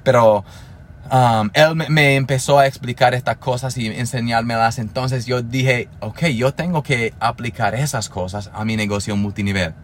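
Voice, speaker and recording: A man's voice: mid-range voice, normal speed, a little hiss or hum. Earnest Spanish-speaking man, motivational podcast. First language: English. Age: 30s. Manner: earnest, motivational, with frequent restarts and fillers. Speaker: podcast host. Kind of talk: solo podcast monologue.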